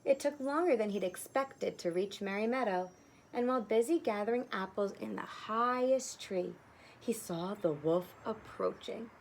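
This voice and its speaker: A woman's voice: medium pitch, normal speed, a little hiss or hum, rough recording. A woman teacher reading a children's story aloud, narrating expressively with plain explanatory asides.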